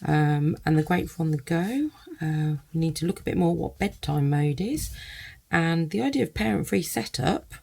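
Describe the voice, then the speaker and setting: A woman's voice: low-pitched, moderate pace, vocal fry, dark tone, breathy edge. A woman giving a casual first-look product review, thinking aloud in loose, hesitant phrases.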